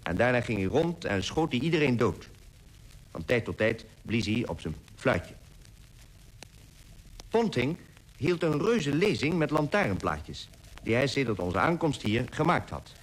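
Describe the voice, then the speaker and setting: An actor playing an expedition member who tells lively anecdotes in a radio play. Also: male voice, medium pitch, a little slow, long pauses, slightly rough, rough recording. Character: male expedition member telling lively anecdotes of camp life. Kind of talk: scripted radio drama narration.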